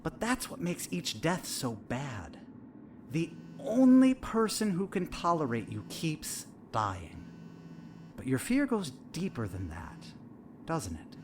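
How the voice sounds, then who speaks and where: A man's voice: a little high, wildly swooping pitch, slow pace, darkish tone, a little hiss or hum, exaggerated voice. A man playing a smug, all-knowing therapist in an audio drama, asking leading questions in an insinuating, theatrical manner. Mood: smugly insinuating. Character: probing, needling male therapist, smug and eerily all-knowing. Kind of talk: audio fiction dialogue.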